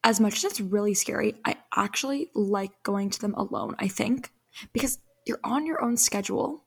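A young woman hosting a solo podcast, giving earnest, chatty advice while her voice fades a little and sounds slightly hoarse. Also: mid-range voice, normal speed. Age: young adult. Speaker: podcast host.

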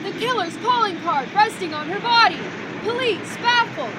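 Very high-voiced young paper seller, street-crier delivery, radio play, clear traffic noise behind. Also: female voice, expressive, normal speed. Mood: urgent hawking. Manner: loud street-crier calling, clipped headlines. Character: eager young newspaper seller hawking headlines. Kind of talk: 1940s radio drama acting.

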